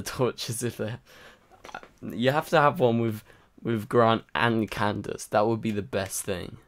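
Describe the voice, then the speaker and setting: A man's voice: on the low side, vocal fry. A tired man hosting a casual livestream, rambling and chatty with lots of fillers while answering viewer comments. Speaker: livestream host. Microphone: home microphone.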